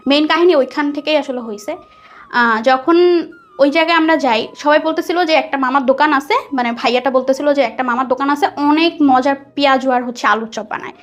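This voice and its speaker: A woman's voice: high-pitched, a little fast. A young woman with a Bangladeshi accent telling a personal story conversationally as a guest, acting out what people said. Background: background music.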